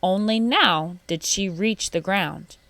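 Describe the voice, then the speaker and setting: A woman reading a children's storybook aloud in an expressive narrating style. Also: mid-range voice, slow, no echo. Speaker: storybook reader.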